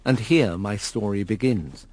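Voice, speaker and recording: A man's voice: on the low side, measured pace, a little mellow, close to an everyday voice. An actor playing a wry, reflective injured man who narrates his own story in a radio drama, with an English accent.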